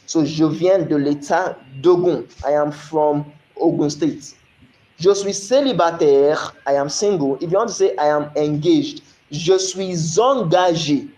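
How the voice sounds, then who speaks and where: A Nigerian man with a slightly raised pitch teaches an online French lesson in an echoey room. He explains patiently, repeats French phrases slowly, and keeps checking that listeners follow. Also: mellow. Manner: explanatory, asks "you understand?" often, spells out French slowly.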